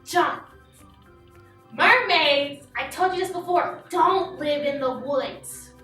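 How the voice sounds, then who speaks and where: A performer voicing an excitable, practical young puppet friend in a children's puppet show, with exaggerated, expressive delivery. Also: sits high, takes it slowly, full character voice. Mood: exasperated. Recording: echoey room, distant mic, music behind.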